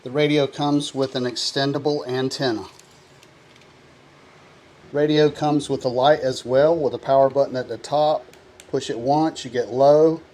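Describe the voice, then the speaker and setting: Man calmly walking through a gadget review. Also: medium pitch, measured pace. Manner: plain, steady explanation of features. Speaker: product reviewer. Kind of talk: product review walkthrough.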